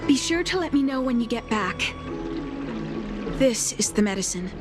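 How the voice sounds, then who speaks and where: A woman voicing a calm, caring, gentle healer in a video game. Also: fairly high pitch, lightly acted. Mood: gentle, reflective. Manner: gentle, measured. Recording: music behind the voice.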